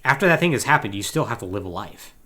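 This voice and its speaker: A man co-hosting a podcast in casual chat, talking in an animated, emphatic, conversational way. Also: fairly low pitch, quick pace. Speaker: podcast co-host.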